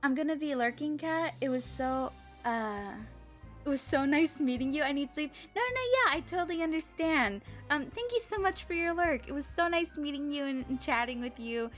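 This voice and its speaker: A woman's voice: high voice, animated, normal speed, a bit bright, faint music behind. A woman chatting casually on a live game stream, musing aloud to herself and her viewers.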